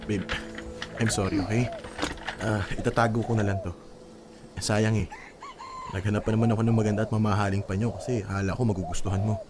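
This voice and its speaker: A man playing a devoted but exasperated young Filipino boyfriend in a radio drama, expressive and coaxing. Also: fairly low pitch, brisk, pauses often, background noise, natural voice. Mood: tender, coaxing. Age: young adult. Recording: music bed under the voice.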